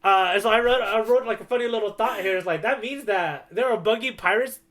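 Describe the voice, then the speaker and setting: Give a man with a slightly high voice, talking as a podcast co-host. He rambles along in casual conversation, with the enthusiasm of a fan. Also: a little fast, a bit bright. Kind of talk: casual chat.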